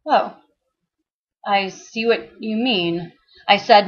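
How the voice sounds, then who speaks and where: Woman, husky voice, dramatic audiobook reading, expressive, theatrical. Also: mid-range voice, highs cut off. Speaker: author and actress reading a book excerpt.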